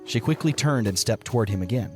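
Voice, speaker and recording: A man's voice: medium pitch, expressive, quick pace, music in the background. A man reading scripted story narration for an audio drama.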